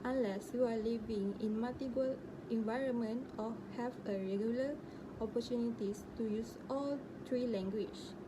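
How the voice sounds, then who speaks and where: A Malaysian woman student reading a prepared class presentation in a steady read-aloud way. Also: a little high. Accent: Malaysian English. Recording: steady background hiss.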